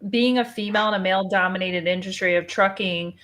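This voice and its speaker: A woman's voice: mid-range voice, fast talker, a bit bright. A woman sharing a motivational personal story in an emphatic, encouraging way on a podcast. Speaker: podcast speaker, business owner.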